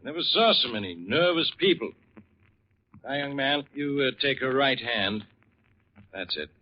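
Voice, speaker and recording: An actor playing a folksy, obliging and easily puzzled small-town judge in a vintage radio thriller. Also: male voice, mid-range voice, very animated, unhurried. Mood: genial, unhurried. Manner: folksy, drops words. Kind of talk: 1940s radio thriller acting. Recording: muffled, noisy vintage radio recording.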